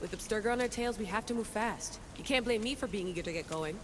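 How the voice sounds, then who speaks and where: A woman's voice: mid-range voice, quick pace, close to an everyday voice. An actress playing a headstrong, defiant young woman, a modern assassin with a blunt, cocky manner, in a video game cutscene. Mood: determined. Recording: clear background noise, game ambience.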